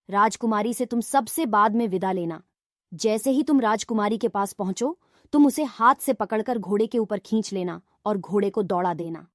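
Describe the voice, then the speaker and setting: A woman's voice: fairly high pitch, no echo, studio quality, natural voice. A woman voicing a friendly, helpful female fox who gives instructive, encouraging advice in a fairy tale. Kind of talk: Hindi fairy-tale storybook voice acting.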